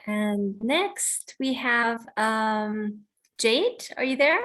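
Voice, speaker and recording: A woman's voice: on the high side, animated, drawn-out words, a bit bright. A woman from the United States hosting an online poetry reading, introducing and reading her own work in an informal, reflective, explanatory way. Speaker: host of a poetry reading and poet. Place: online video call.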